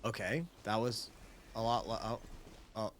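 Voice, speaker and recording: A man's voice: on the low side, level tone, a little slow, a little hiss or hum. A man streaming a video game, reacting casually and expressively in slangy exclamations. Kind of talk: casual live gameplay reaction commentary. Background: game music.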